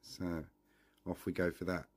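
A man's voice: low voice, flat delivery, measured pace, very noisy. A man hosting a history vlog, narrating to his viewers in a conversational way.

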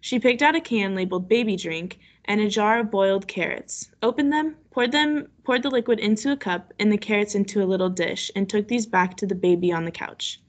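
Lady teacher doing a read-aloud for kids on a video call, medium pitch. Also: brisk, few pauses. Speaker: teacher reading aloud to a class. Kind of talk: read-aloud lesson for children. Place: online video class call.

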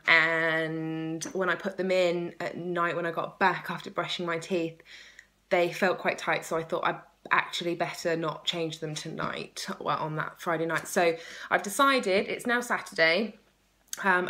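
Woman, 30s, lowish voice, chatty and enthusiastic home vlogger, casual delivery to a phone. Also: steady tone, normal speed, sharp tone. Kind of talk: casual chat to camera. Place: small room at home by a window, indoors. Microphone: phone at arm's length.

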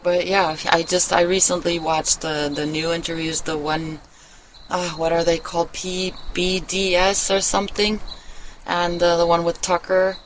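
A woman's voice: fairly low pitch, level tone, unhurried, rough recording. Rambling, candid woman vlogger over outdoor noise. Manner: rambling, candid, swears casually. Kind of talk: casual confessional chat to camera. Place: outdoors in a wooden shelter in the woods. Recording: clear outdoor ambient noise.

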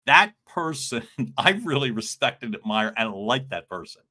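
A middle-aged man coaching on communication in a live stream, loud and expressive, joking with his viewers, speaking from a room at home into a studio mic. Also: mid-range voice, moderate pace. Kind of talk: livestream coaching talk. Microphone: large studio mic in front.

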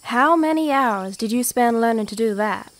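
An actress playing a polite young assistant new to shooting, with a dry wit. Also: female voice, fairly high pitch, lively intonation, normal speed, natural voice. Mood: wry. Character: earnest young woman assistant, new to guns.

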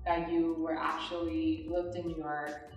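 A young woman from Taiwan hosting an interview channel, asking friendly, slightly halting questions in a gallery room. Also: sits low, little pitch movement, a little mellow. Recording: very echoey, distant from mic, faint music.

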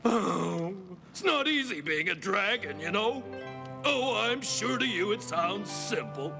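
A gravelly, self-pitying dragon, played by a man, who speaks slowly and swings widely in pitch. He whines theatrically and bursts into shouts, with clear music playing underneath. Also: expressive, heavily acted. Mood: complaining. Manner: theatrical, complaining, with sudden shouts. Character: boastful grumpy male dragon, self-pitying.

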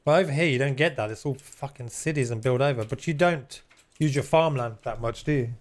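A man talking to viewers on a live game stream in a relaxed, rambling way. He reads the chat aloud, drops the odd swear word and keeps up a loose running commentary. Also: medium pitch, a little fast.

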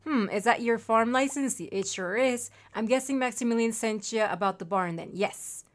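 A woman's voice: a little high, moderate pace. Chatty young Mexican woman streamer. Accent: Mexican Spanish. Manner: chatty, reads character lines aloud, reacts with gasps. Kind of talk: reading game dialogue aloud while playing.

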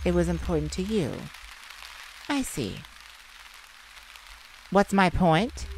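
A woman voicing a feisty, sardonic male vampire with a softer side, in a theatrical ASMR roleplay addressed to the listener. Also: mid-range voice, low-quality recording. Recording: steady rain ambience behind the voice. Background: rain falling.

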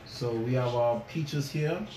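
A man's voice: medium pitch, takes it slowly, dark tone, poor audio. A man presents a casual cooking demo as a chef. He is chatty, with a mid-range voice and a habit of repeating phrases for emphasis. Light background noise sits behind him. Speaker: chef and cooking show host.

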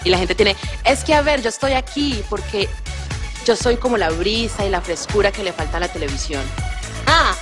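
A woman's voice: medium pitch, normal speed, music in the background. A woman in her late twenties from Colombia, auditioning for a pageant show with a brash, joking, self-assured manner and frequent laughs. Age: 20s. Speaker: beauty pageant contestant. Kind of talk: reality show audition and confessional interview. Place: TV studio stage and interview set.

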